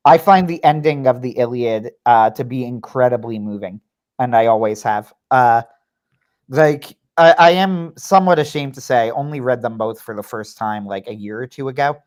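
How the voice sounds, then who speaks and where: A man's voice: mid-range voice, moderate pace, a little mellow. A man hosts a casual livestream conversation. He argues his views earnestly, with a wry, self-aware edge. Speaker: livestream host.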